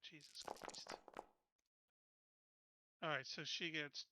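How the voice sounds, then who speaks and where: A man's voice: fairly high pitch, fairly even intonation, slow, heavy background noise. A man playing a tabletop roleplaying game, talking through his tactics casually.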